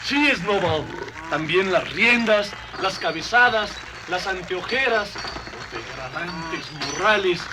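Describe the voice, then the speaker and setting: Man playing an eager, very high-voiced farm horse. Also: animated, takes it slowly. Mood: earnest. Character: eager, zealous farm horse following the revolution. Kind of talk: dramatized radio novel acting. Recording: loud crowd and outdoor noise behind.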